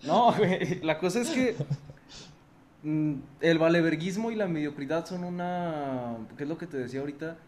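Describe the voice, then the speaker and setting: A man's voice: on the high side, moderate pace, a little mellow, a little hiss or hum. A nineteen-year-old Mexican man hosting a podcast, joking around in loose slang and wandering off into rambling asides. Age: young adult. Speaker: podcast host. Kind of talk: casual chat.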